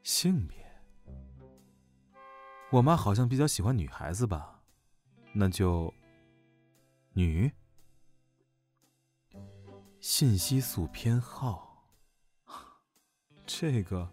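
A man's voice: on the low side, very animated, slow pace, long pauses, a little mellow. A man acting a blunt, naive young military officer in a Chinese audio drama. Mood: hesitant. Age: young adult. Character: blunt, naive young male military major general, sci-fi world. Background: music.

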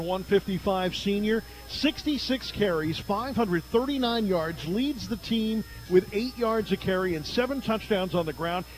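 High-pitched male football play-by-play announcer. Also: rough recording. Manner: emphatic, reels off statistics. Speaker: play-by-play radio sportscaster. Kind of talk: pregame football commentary, conversational.

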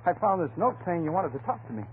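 A man's voice: sits high, lively intonation, fast talker, slight background noise. An actor playing a nervous, folksy milkman in a vintage radio drama, chatty, stammering and apologetic. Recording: tinny, humming old radio recording.

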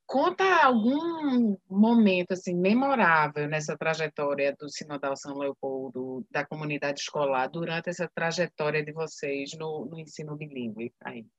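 Low-voiced woman on a dull, dry line. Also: moderate pace, hardly any pauses, dry recording. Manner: relaxed, with small hesitant repeats. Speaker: interviewer. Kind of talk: casual interview chat.

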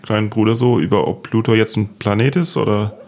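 A man's voice: lowish voice, fairly even intonation, brisk, a little mellow. A man hosting a comedy podcast in casual chat, playful, repeating words for comic effect. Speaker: podcast host.

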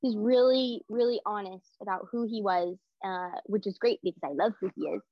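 A woman's voice: medium pitch, moderate pace, dry recording, muffled recording. A woman guest on a podcast who is under the weather, chatting with a thick voice and giggling often. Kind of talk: casual chat.

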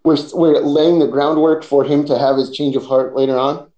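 A man's voice: on the high side, fairly even intonation, moderate pace, warm tone, echoey room, lo-fi sound. A man in his early thirties co-hosting a casual movie podcast. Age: early 30s. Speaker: podcast co-host.

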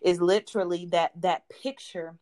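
A woman's voice: fairly low pitch, fairly even intonation, moderate pace, warm tone. Earnest woman sharing a faith testimony. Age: adult. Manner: earnest, repeats words and restarts often. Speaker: podcast guest. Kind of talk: faith-based testimony in conversation.

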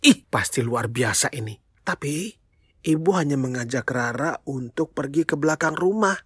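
A storyteller telling a children's tale in an expressive, playful way, speaking straight to young listeners with exclamations and questions. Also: mid-range voice, moderate pace, slightly rough, no echo, clean studio sound. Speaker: children's storyteller, show host.

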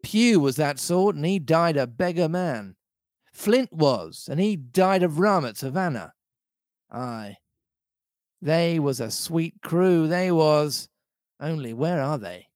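A man's voice: fairly high pitch, expressive, slow pace, a little mellow, no echo, clean studio sound. A man voicing a scheming, domineering pirate cook from a storybook, speechifying emphatically in seafaring dialect. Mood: scornful, wistful. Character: Long John Silver, scheming, domineering pirate sea cook.

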